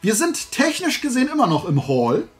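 A man's voice: extremely high pitch, wildly swooping pitch, fairly quick, faint music behind. A man in his forties chatting casually to camera as a video host, rambling and colloquial with emphatic turns. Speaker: YouTube host. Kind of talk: casual chat to camera, product haul. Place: indoors, small collection room lined with shelves.

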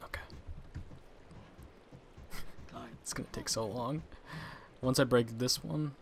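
A young man casually commenting on his own gameplay, chatty and quick to laugh at his mistakes. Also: mid-range voice, steady tone, vocal fry, a little hiss or hum. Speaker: gaming channel host.

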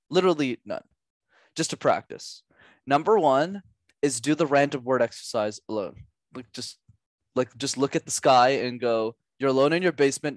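A young man, a podcast guest and communication coach, giving energetic coaching advice with lots of emphasis and repetition over a headset mic at home. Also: medium pitch, dry recording. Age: young adult. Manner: animated, gestures a lot, drives points home with repetition. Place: small room at home.

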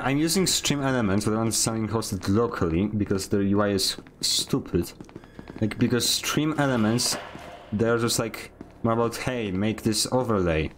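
Rambling jokey young streamer. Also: male voice, fairly low pitch. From Poland. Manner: rambling, jokey, fast asides to chat. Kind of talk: casual gaming stream chat.

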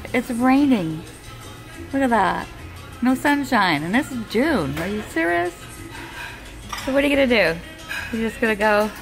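A woman in her fifties with an American accent, chatting casually into a handheld phone camera, folksy and quick to laugh. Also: on the high side, rough recording. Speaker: vlogger. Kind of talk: casual vlog chat. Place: inside a vehicle.

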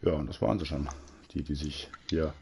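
A man's voice: rumbling low, a little fast, vocal fry, a little mellow, a little hiss or hum. A man commentating a live sim racing broadcast, chatty and joking as he reads out standings and greets viewers. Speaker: race commentator.

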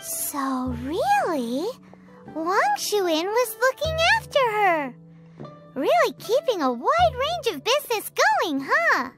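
Casting: tiny chirpy fairy sidekick for a game, extremely high childlike voice, wildly animated, loud music underneath. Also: female voice, slow, full character voice. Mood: curious. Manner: excitable, talks of herself in third person. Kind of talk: video game character acting.

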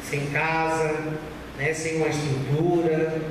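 A man's voice: on the high side, completely flat delivery, takes it slowly. A man in his thirties with a São Paulo Brazilian accent, preaching an earnest, exhorting church sermon, repeating phrases for emphasis. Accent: Brazilian, São Paulo state. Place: church stage at a pulpit, indoors. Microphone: handheld mic through room speakers, far camera. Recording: echoey noisy hall, recorded from far off.

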